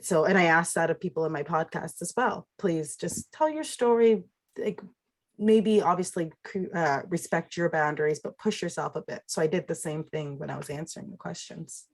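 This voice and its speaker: Woman, podcast guest, very low voice, husky tone, thoughtful, speaks in imagery. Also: moderate pace. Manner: reflective, talks in imagery. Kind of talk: casual interview conversation.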